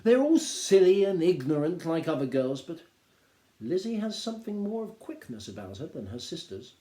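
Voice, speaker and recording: A man voicing a wry, teasing middle-aged husband, measured and mock-polite, reading from a classic novel. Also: a little high, big pitch swings, dark tone, voice pushed up. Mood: sardonic. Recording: roomy, echoing indoor sound.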